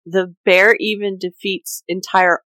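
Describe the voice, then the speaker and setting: A woman's voice: medium pitch, flat delivery, a little slow, sharp tone, no echo, poor audio. A woman hosting a casual, partly scripted podcast discussion in a jokey, informal way. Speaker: podcast host.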